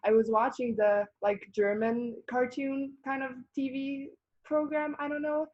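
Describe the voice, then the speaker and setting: A woman's voice: high-pitched, little pitch movement, unhurried, a little mellow. Young Bosnian-born woman athlete, Bosnian native speaker, chatty interview answers, a bit muffled lo-fi recording. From Bosnia and Herzegovina. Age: young adult. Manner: chatty, laughs often, many fillers and restarts. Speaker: college volleyball player.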